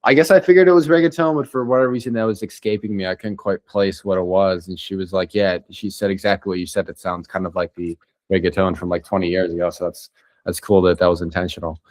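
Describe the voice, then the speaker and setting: Low-voiced guy running a chill interview on a call, super casual, dry sound. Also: fast talker, no room sound. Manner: relaxed, casual, filler-heavy. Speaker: interview host.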